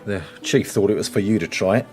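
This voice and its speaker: An actor as deferential low-voiced policeman. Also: a little fast, a little mellow, soft background music, natural voice. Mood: tactful. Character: deferential, tactful male police officer. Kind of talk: radio drama dialogue.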